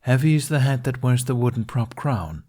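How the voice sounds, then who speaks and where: A man's voice: medium pitch, expressive, moderate pace, dark tone, pitched above the performer's own voice, natural voice. A man voicing a playful, curious young man with a cheeky, eager manner in a story reading. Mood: mock solemn.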